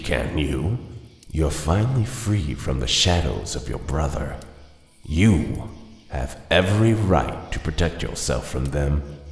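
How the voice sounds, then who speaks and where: A man voicing a sinister, knowing voice inside someone's head, slowly coaxing and accusing in a horror story. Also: very deep voice, echoey room, in a large hall, full character voice. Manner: slow, insinuating.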